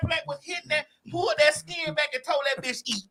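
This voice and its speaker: A young adult man hosting a raunchy casual chat, loud, brash and theatrical, sometimes breaking into song. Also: very high voice, quick pace, very noisy. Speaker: podcast host.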